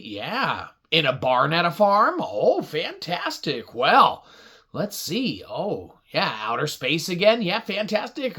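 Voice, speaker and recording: Female children's storyteller: unhurried, highly animated and slightly raspy, with exaggerated reactions. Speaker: puppet show host and storyteller. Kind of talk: interactive children's storytelling, asking an imagined audience for ideas.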